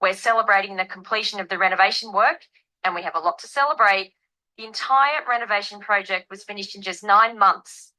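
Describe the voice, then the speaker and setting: Woman doing club manager reading out a scripted announcement, upbeat n clear, bright voice. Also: medium pitch, a little fast, close to an everyday voice, low-quality recording. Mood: upbeat, informative. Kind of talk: scripted announcement in a listening test.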